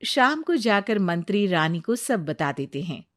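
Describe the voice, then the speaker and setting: A woman narrator reads a scripted moral story. Her pace is slow and measured, with a middling pitch. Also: sing-song.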